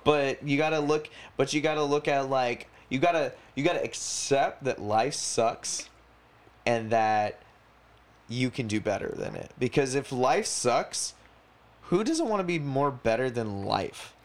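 A man's voice: mid-range voice, unhurried. A man in his twenties chatting casually as a podcast co-host, rambling and slangy, swearing freely. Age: 20s. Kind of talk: casual chat.

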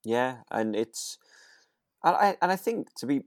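A man's voice: mid-range voice, lively intonation, measured pace, no room sound, clean studio sound. A British man co-hosting a podcast, chatting casually in an informal, musing and slightly hesitant way. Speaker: podcast co-host.

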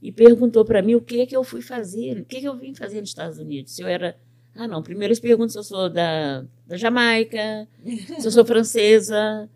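An older woman from Brazil, a pet groomer and business owner, telling her life story in an interview with lively quoted speech. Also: mid-range voice, fairly quick. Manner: animated storyteller who quotes other people's words.

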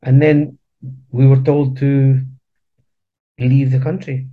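A man in his fifties, born in Chile, telling his family's story as a guest in a casual interview, halting and often repeating words. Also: medium pitch, little pitch movement, slightly rough, narrow, tinny audio.

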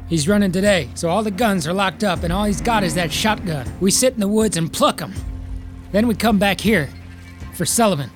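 An actor playing a volatile, scheming frontier timber man, with an American accent and rough, folksy speech. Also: high-pitched, fairly quick, music in the background, close to an everyday voice. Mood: urgent, plotting. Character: volatile, hard-bitten frontier brother, a scheming timber claimant. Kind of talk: dramatic film dialogue reading.

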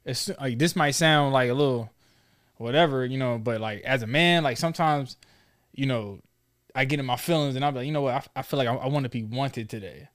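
A man's voice: medium pitch, brisk, a bit bright. A man in his thirties hosting a casual conversation, talking in an informal, slangy, probing way. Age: 30s. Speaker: talk show host.

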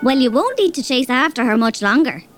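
A woman's voice: sits high, sing-song, a little fast, faint music behind. An actress with an Irish accent playing a tough, bossy robber girl who also narrates. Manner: bossy, threatening, blunt. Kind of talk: audio drama acting.

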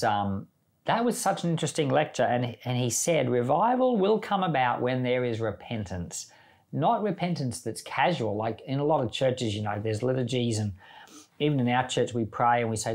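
A man's voice: medium pitch, a little mellow. A man speaking as a pastor on a Bible podcast, explaining scripture in an earnest, informal, conversational way. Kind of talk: conversational Bible teaching with commentary.

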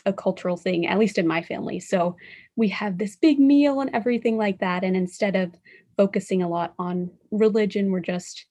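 A woman's voice: medium pitch, brisk, dark tone. Friendly modest teen girl. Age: teens. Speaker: student panelist. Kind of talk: casual discussion.

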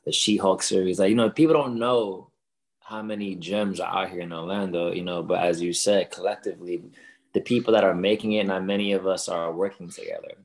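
A man's voice: low-pitched, fairly even intonation, fairly quick. A man from the Bronx, a rapper and dancer, chatting as a podcast guest in an easygoing way. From the Bronx, New York. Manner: easygoing, says "you know" and "man" a lot. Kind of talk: casual conversation.

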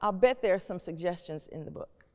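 A woman's voice: on the low side, animated, brisk, darkish tone. A middle-aged woman hosting and moderating a panel discussion. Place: stage in a reverberant hall. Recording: echoey hall with long reverb.

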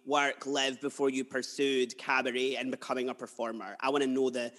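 A man's voice: mid-range voice, little pitch movement, fairly quick, a bit bright, in a large hall. A man hosting an interview, chatting enthusiastically with loose, rambling phrasing. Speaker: podcast host. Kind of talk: casual interview chat.

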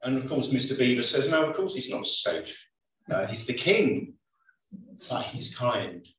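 A man's voice: medium pitch, big pitch swings, a little slow, a bit bright. Man preaching in an echoey church. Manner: conversational, hesitant with many ums. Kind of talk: sermon. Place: church hall. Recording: echoey lo-fi church recording from far off.

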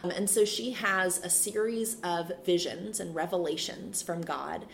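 A woman in her thirties, a priest and school chaplain, talking in a relaxed interview with a soothing, reflective manner. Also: lowish voice, a little slow, vocal fry, a little hiss or hum. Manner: frequent fillers and restarts, laughs easily. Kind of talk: casual interview conversation.

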